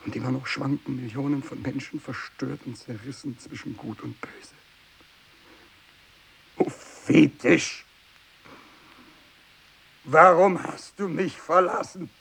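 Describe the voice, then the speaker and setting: Tormented, gravelly renegade priest. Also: male voice, medium pitch, takes it slowly, pauses often, a bit bright, exaggerated voice, rough recording. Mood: parched, brooding, then anguished crying out. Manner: declamatory, anguished, swinging between cries and whispers. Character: fanatical, tormented renegade priest, parched and raving. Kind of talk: 1960s German radio drama monologue. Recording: faint tape hiss, 1960s radio production.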